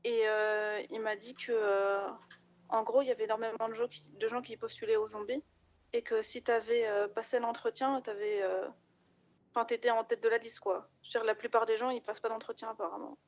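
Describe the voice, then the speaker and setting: High-voiced chatty woman, muffled voice chat. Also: little pitch movement, fairly quick. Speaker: online roleplay player. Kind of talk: casual in-character storytelling. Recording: dull, lo-fi game voice chat.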